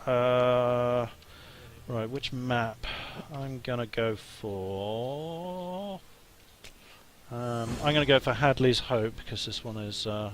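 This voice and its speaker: Hesitant game developer, hoarse. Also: male voice, medium pitch, slow pace, slight background noise. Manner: hesitant, many ums and restarts. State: losing his voice, coughing. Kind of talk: casual live stream talk while playing. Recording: music playing behind.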